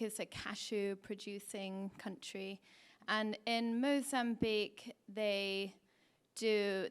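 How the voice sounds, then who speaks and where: A woman speaks up from the audience at a conference session. Her voice is smooth and resonant at a mid pitch. She talks conversationally, with ums, as she asks her question. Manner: conversational, fillers. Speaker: audience member asking a question. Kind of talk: conference Q&A comment. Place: conference breakout room.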